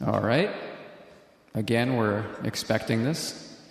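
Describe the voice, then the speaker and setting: A man hosting a live religious call-in show, speaking in a halting, hesitant, earnest way. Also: on the low side, vocal fry. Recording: echoey, reverberant room.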